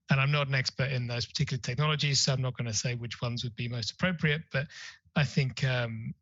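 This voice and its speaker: A man's voice: mid-range voice, a bit bright, dry recording, dull audio. A man answering a question on a conference panel, talking hesitantly with many fillers and restarts.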